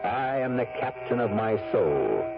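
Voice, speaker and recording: A man hosting a 1970s radio mystery with theatrical, measured narration. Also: medium pitch, sing-song, creaky. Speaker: host. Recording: muffled old radio broadcast, music behind.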